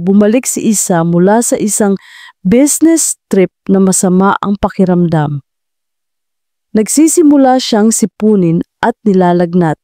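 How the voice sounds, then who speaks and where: A woman narrating a scripted romantic audio drama with a steady storytelling delivery. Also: mid-range voice, no room sound.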